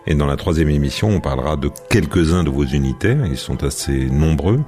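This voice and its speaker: A man's voice: extremely low pitch, expressive, fairly quick, mellow, soft background music. A man hosting a radio interview, asking long, formal, elaborately phrased questions. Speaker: radio host.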